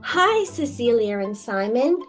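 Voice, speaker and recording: A woman reading a children's storybook, voicing a kind young mermaid with a gentle, encouraging manner. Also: fairly high pitch, very animated, measured pace, faint music behind, voice pushed up. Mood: polite, determined. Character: kind, reassuring young female mermaid helper. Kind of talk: children's storybook read-aloud.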